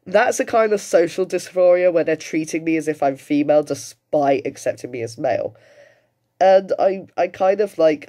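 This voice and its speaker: A man's voice: on the high side, warm tone. A British man in his late twenties giving casual, emphatic, sweary reaction commentary. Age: late 20s. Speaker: commentator reacting to another person's video.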